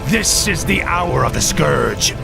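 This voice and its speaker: A man's voice: on the high side, very animated, slow, creaky, heavily acted. An actor playing a fallen undead death-knight king, giving stern, resolute commands. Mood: determined. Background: orchestral music.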